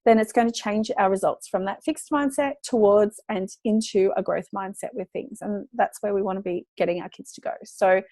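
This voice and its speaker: Slightly hoarse woman chatting to parents. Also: medium pitch, moderate pace, few pauses, a little mellow, no echo. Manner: chatty, encouraging, lots of fillers. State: losing her voice. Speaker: parenting educator. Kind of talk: casual talk to parents.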